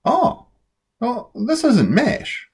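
Young storybook big brother, played by a woman, playful and patient, husky edge, unhurried. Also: a little high, wildly swooping pitch, exaggerated voice. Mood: persuasive, sly. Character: clever, patient older brother, a young boy. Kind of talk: storybook character voice.

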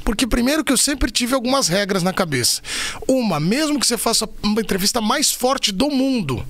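Brazilian man, middle-aged sports reporter, high gravelly voice, rapid animated storyteller. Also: no room sound. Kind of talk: casual podcast chat.

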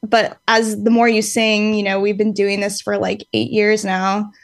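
A woman's voice: mid-range voice, little pitch movement, measured pace, no room sound. A woman in her late twenties who sings in a band, talking casually in an interview. She rambles a little, thinks aloud and fills gaps with ums, and she laughs easily. Her voice sits mid-range and is recorded close and dry. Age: late 20s. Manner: rambling and reflective, with many fillers and easy laughs. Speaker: band singer, podcast guest.

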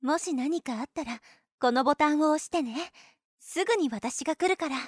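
A woman acts out a young nurse for an audio drama in a high, anime-style voice. She is blunt and boyish in her phrasing, yet protective and clingy. The sound is very dry, with no room around it. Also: lively intonation, normal speed, no echo. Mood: doting, protective. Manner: blunt boyish phrasing, doting toward the patient. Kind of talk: Japanese anime-style situation voice drama acting.

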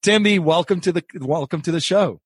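A man's voice: a little high, a little fast, husky, dry recording, studio quality. A man from Africa hosting an interview podcast, asking long questions and often repeating words as he goes. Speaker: podcast host.

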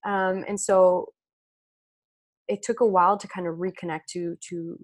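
A woman's voice: on the low side, steady tone, unhurried, no echo, clean studio sound. A French Canadian woman whose first language is French, chatting as a podcast guest with many fillers and restarts. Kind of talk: casual podcast chat.

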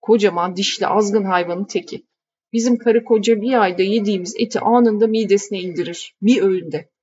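A woman voicing a gruff village housekeeper who talks curtly and scolds. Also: medium pitch, brisk, darkish tone, lo-fi sound, natural voice. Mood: grumbling. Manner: curt, scolding.

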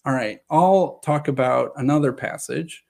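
A slightly hoarse man giving a measured lecture, reading scripture passages aloud and explaining them. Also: medium pitch, a little mellow. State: slightly hoarse from long talking. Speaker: video presenter on scripture. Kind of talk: lecture-style reading and commentary.

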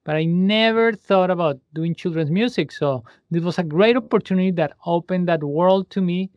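A man's voice: high-pitched, normal speed, a little mellow, no room sound, studio quality. A man from Colombia with a Colombian accent, a musician talking as an interview guest, friendly and slipping into Spanish phrases. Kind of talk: interview conversation.

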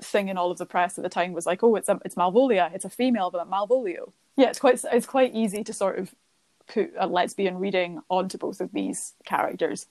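Gravelly woman chatting about theatre. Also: mid-range voice, brisk, darkish tone, no room sound. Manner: thinks aloud with many fillers and restarts. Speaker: podcast co-host. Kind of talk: casual chat about theatre.

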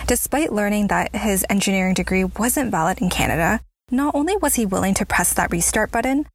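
A woman's voice: mid-range voice, a little fast. Upbeat woman podcast host. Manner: upbeat, enthusiastic. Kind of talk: scripted podcast intro then interview. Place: home. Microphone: budget lapel mic.

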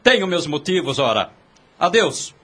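A man's voice: on the high side, big pitch swings, a little slow, fairly bright tone. An actor playing a devoted, brooding younger man in a period radio drama, with a theatrical, formal, intense delivery. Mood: curt, defiant. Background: background music.

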